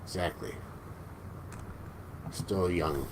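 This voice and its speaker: A man in his forties hosting a casual live stream, chatting with viewers in a relaxed, joking way. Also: sits low, extremely slow. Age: 40s. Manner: laid-back, joking, chuckles often. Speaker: livestream host. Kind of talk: casual live chat reading viewer comments. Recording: noticeable background noise.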